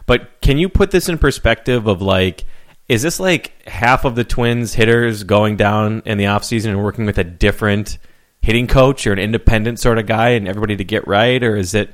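A man co-hosting a podcast who chats casually about sports, thinking out loud and asking for context. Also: fairly low pitch, fairly quick. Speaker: podcast co-host.

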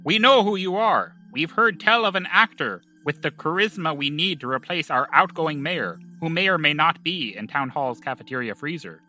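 A man playing an earnest small-town historian with dramatic pretensions in a comedy audio drama, speaking in a halting, politely condescending way. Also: on the high side, animated, bright, forceful tone, soft background music, poor audio. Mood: stagey, persuasive.

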